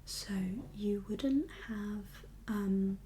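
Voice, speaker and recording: A woman whispering an ASMR medical roleplay as a kindly doctor, gentle and reassuring in an unhurried, professional way. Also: medium pitch, a little hiss or hum. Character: kindly doctor for undead patients. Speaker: ASMR performer playing a doctor.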